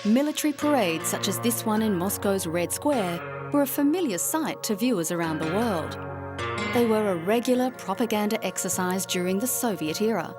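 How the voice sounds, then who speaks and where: Female documentary narrator, polished and even, clear music bed beneath. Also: mid-range voice, moderate pace. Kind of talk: scripted narration.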